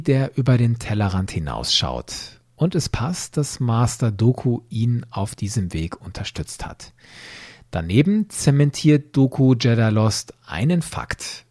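Lebhafter, leicht rauer deutscher Podcaster. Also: male voice, medium pitch, mellow, dry recording, clean studio sound. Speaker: podcast host. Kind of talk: semi-scripted podcast commentary.

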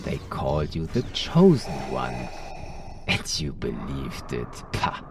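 A man voicing a scheming ancient male Sith lord in a mask, sly and theatrical, slipping into breathy whispers. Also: rumbling low, wildly swooping pitch, slow pace, far from the mic, background noise, voice pushed down, exaggerated voice. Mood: taunting. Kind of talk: acted quotes in a retold comic story.

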